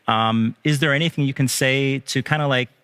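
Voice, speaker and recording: A friendly male moderator steering a panel talk. He has a bright, forward tone and a mid-range pitch, recorded dry and close. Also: fairly even intonation, brisk, smooth, no room sound.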